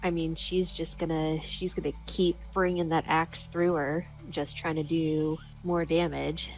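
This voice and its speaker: A woman's voice: low-pitched, level tone, measured pace, slight background noise, low-quality recording. A woman playing a tabletop game, casually and informally describing her character's moves.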